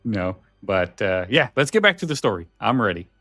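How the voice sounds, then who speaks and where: A man's voice: on the low side, very animated, moderate pace, fairly bright tone, soft background music. A man co-hosting a podcast in a casual, musing, informal way. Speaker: podcast co-host. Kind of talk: casual chat.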